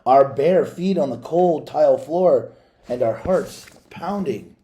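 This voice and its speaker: Male storybook reader, warm dark timbre, dramatic and deliberate delivery. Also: fairly high pitch, animated. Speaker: read-aloud reader. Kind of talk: storybook read-aloud, expressive narration.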